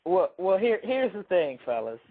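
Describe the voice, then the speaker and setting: Hoarse man rambling over a phone line. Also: high voice, very animated, normal speed. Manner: rambling, self-interrupting storyteller. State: hoarse from a cold. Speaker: radio show co-host. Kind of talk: casual chat, storytelling.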